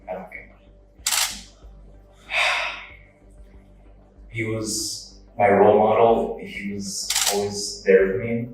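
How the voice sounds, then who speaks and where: Slow, flat-voiced grieving man in court. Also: lowish voice. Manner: slow, flat and measured, bitter. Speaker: victim's brother giving a court statement. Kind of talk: victim impact statement in court. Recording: echoey courtroom from a distance, music underneath.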